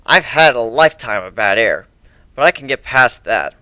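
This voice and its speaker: Man as bright-voiced, wistful griffin outsider. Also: medium pitch, lively intonation, moderate pace, dry recording. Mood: wistful, calm. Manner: hesitant, reflective, conversational. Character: wistful, kind, self-deprecating male griffin outsider. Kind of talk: dramatic reading of a fan story.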